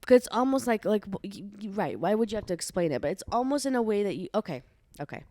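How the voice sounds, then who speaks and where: A young woman co-hosting a casual podcast with her brother, talking loosely with lots of fillers and quick agreements. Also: medium pitch, big pitch swings, dark tone, dry recording, clean studio sound. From Bosnia. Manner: conversational, frequent "right" and fillers. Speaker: podcast co-host. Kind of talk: casual chat.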